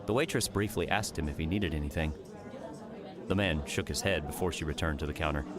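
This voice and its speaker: A man narrating a scripted audiobook story in a measured, dramatic storytelling manner. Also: rumbling low.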